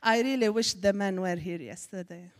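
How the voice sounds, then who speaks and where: A woman's voice: medium pitch, moderate pace. A woman from Syria with a Syrian Arabic accent, a native Arabic speaker, giving a testimony to a church congregation in an emphatic preacherly manner. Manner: warm preacherly, rising to emphatic exclamations. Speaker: guest speaker. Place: church sanctuary. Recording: echoey church hall.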